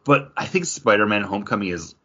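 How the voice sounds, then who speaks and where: A man's voice: on the low side, lively intonation, quick pace, bright, forceful tone, husky, muffled recording. A man co-hosting a podcast, discussing films in a casual, conversational and somewhat hedging way. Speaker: podcast co-host.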